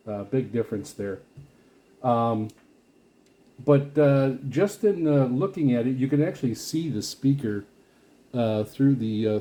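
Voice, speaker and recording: A man giving casual, unscripted product commentary as a video host, rambling haltingly and often repeating and restarting his phrases. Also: mid-range voice, mellow. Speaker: video host reviewing radio gear.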